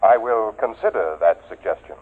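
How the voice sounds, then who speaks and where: An actor playing a pedantic, emotionless robot diagnosis machine in a radio drama, speaking formally and literally. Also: male voice, lowish voice, moderate pace, soft background music, lightly acted. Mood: flatly clinical. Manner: formal, clinical, literal-minded. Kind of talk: 1950s radio drama acting. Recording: tinny, band-limited 1950s radio recording.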